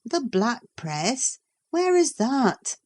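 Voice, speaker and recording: A woman reading an audiobook, voicing a steady, practical housekeeper who speaks firmly and soothingly. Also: on the high side, extremely slow, pitched above the performer's own voice, exaggerated voice. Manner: firm, soothing.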